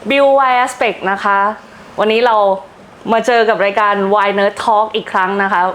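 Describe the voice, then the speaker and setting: A woman's voice: mid-range voice, steady tone, very fast, a bit bright, a little hiss or hum. A woman with a Thai accent hosting a casual interview in a polite, effusive way. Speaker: host.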